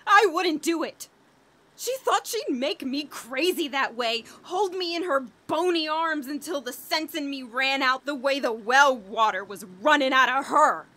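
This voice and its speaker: An actress playing a frantic, haunted woman on the edge of madness in a horror radio play, halting and overwrought. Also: high voice, animated, bright, forceful tone, full character voice. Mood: frightened, revolted. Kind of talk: 1940s radio horror acting, dramatic monologue.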